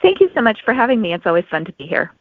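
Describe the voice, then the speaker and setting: A woman's voice: mid-range voice, big pitch swings, racing, slightly rough, dry recording. A woman author giving explanatory answers as an interview guest. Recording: narrow phone-like sound, very dry.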